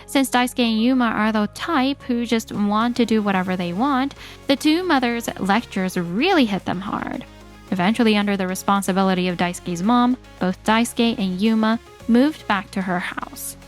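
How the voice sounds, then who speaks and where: A woman's voice: a little high, moderate pace, faint music behind. A woman reading scripted first-person story narration for a manga dub.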